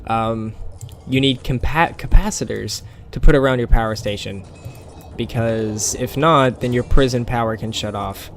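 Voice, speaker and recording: A man with a gravelly, tired, scratchy voice explains a game as he plays, rambling with lots of fillers. His pitch is mid-range for a man, and a faint hiss sits under the recording. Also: normal speed. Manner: rambling, with many fillers and small laughs. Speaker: gameplay commentator. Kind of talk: casual game commentary.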